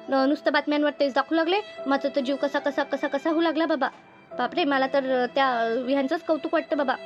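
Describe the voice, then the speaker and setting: A woman's voice: high voice, muffled recording, lightly acted. A woman voicing an anxious, tender-hearted older village aunt with a rural Maharashtrian accent, fretfully repeating herself in an amateur cartoon dub. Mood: worried. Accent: rural Maharashtra Marathi. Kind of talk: amateur Marathi cartoon dubbing.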